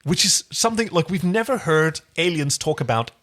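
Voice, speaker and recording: A man with a fairly high voice chats as a podcast co-host. His delivery is enthusiastic and expressive, swinging widely in pitch as he recaps television in a casual style. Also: moderate pace. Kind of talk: casual TV episode recap chat.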